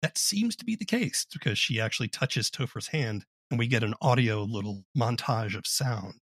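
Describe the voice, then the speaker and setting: A man with a husky, slightly rough voice hosts an interview podcast. He presents and asks questions conversationally. The recording is dry and close. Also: medium pitch, lively intonation, normal speed, no room sound. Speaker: podcast host. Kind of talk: interview hosting with episode summaries.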